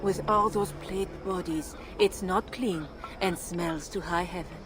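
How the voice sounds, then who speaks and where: A woman's voice: fairly low pitch, steady tone, measured pace, natural voice. An actress playing a plainspoken, cautious townswoman in a video game, matter-of-fact in manner. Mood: guarded. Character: plainspoken, cautious local townswoman in a plague district. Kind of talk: video game character acting. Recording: music behind the voice.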